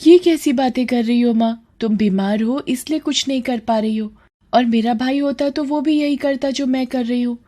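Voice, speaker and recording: High, gentle young daughter voiced by a woman. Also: normal speed, natural voice. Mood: comforting. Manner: gentle, comforting. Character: responsible, caring young daughter.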